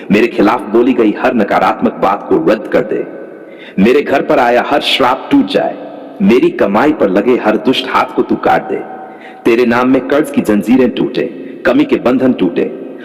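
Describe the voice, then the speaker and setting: A man leading a scripted devotional prayer, speaking fervently in rhythmic, repeated declarations. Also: fairly low pitch. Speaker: prayer leader. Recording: long hall reverb.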